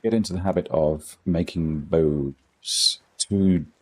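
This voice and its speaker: A man's voice: low voice, slow pace, slightly rough. A middle-aged man with a posh English accent, chatting casually with viewers while playing a game, rambling with dry self-deprecating humour. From England. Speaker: gaming streamer.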